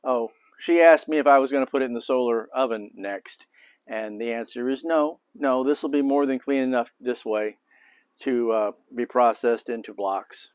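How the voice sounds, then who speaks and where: A man's voice: normal speed, clear, resonant tone, dry recording. An older man hosting a beekeeping demonstration, talking casually and thinking aloud as he works on an outdoor patio. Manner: relaxed, thinking aloud, low-key. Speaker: beekeeping video host.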